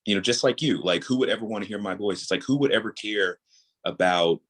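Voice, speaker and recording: A man speaking as a guest in a casual interview, earnest and reflective, building his thoughts in halting phrases. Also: fairly low pitch, a bit bright, breathy edge. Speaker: podcast guest, audio drama creator.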